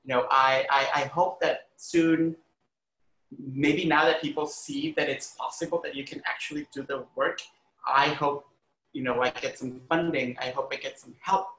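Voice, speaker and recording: A man's voice: mid-range voice, steady tone, normal speed, sharp tone, roomy sound, low-quality recording. A man from Honduras talking earnestly and emphatically in a conversational interview, telling personal stories and often saying "like" and "you know". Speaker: arts critic and educator, podcast guest.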